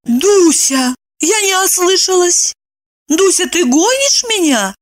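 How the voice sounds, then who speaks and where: A woman's voice: fairly high pitch, sing-song, moderate pace, fairly bright tone, voice pushed up. A woman voicing a cheeky, coy young woman in an audiobook, retorting to her friend in a flippant, theatrical way. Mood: bewildered.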